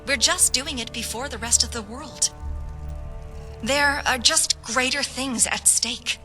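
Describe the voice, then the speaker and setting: A woman's voice: high-pitched, a little slow. A woman playing an earnest, patriotic young noble lady officer in a fantasy game, speaking formally and persuasively. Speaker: voice actor in a fantasy game quest mod. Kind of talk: scripted fantasy game dialogue. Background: background music.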